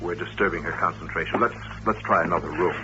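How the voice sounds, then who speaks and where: An actor playing a courteous, patient doctor who runs an institution, explaining things politely and with measure in an old-time radio drama. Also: male voice, low voice, quick pace, close to an everyday voice. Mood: earnest, explanatory. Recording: dull old radio recording, faint hiss.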